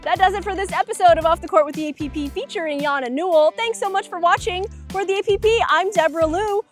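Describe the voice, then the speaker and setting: A woman hosting a sports interview show outdoors, asking questions in a bubbly, energetic presenter manner. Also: very high-pitched, fast talker, soft background music. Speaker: show host. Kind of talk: upbeat hosted interview. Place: outdoors on a hiking trail.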